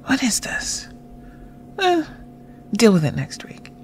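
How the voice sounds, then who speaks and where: An actress playing a blunt, wry, loyal friend in a naturalistic audio drama, speaking casually. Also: female voice, fairly high pitch, huge pitch swings, takes it slowly, a little hiss or hum, exaggerated voice. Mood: casual, musing. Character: blunt, foul-mouthed, loyal woman friend, homebody.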